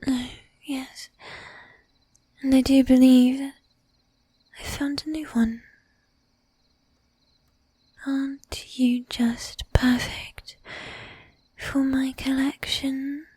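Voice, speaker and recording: Woman acting a predatory lady vampire, whispery and breathy, high-pitched, bossy, sweetly menacing, teasing formal manner, close-miked ASMR roleplay. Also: level tone, mellow, no room sound, heavily acted. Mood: silky, possessive. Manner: coaxing, affected old-fashioned formality, drawn-out teasing. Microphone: close ASMR microphone.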